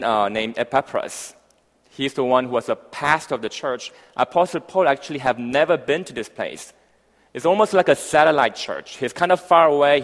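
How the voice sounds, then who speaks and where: Foreign-accented male preacher in an echoing hall. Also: mid-range voice. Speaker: visiting pastor. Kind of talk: informal sermon to a congregation. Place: large church hall, speaking to a congregation. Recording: echoey hall with long reverb.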